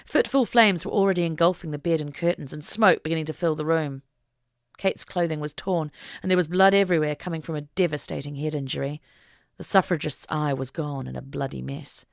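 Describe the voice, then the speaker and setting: A woman's voice: low voice, steady tone, brisk, gravelly, no room sound, clean studio sound. A woman narrating an audiobook story in a dramatic, scripted reading style.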